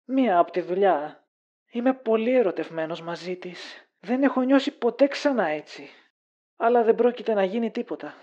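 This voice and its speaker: A woman plays a reluctant, lovesick young man in a conversational audio drama. The voice is mellow with lively swings, guarded and wistful, on slightly dull audio. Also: medium pitch, close to an everyday voice.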